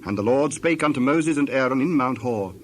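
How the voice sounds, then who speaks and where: A man narrating dramatized scripture from a script, in measured, solemn short phrases. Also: medium pitch, animated, slight background noise.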